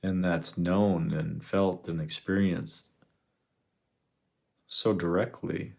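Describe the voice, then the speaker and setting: A man leading a guided meditation, speaking in an unhurried, reflective way with long pauses between phrases. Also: sits low, steady tone, gravelly, darkish tone. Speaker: meditation guide, spiritual teacher. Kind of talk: guided meditation, contemplative teaching.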